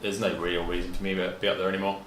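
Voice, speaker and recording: A fairly low male voice in a roomy space with faint background noise. He talks casually and a little tentatively as a player in a tabletop game. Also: level tone, racing, fairly bright tone. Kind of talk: casual chat.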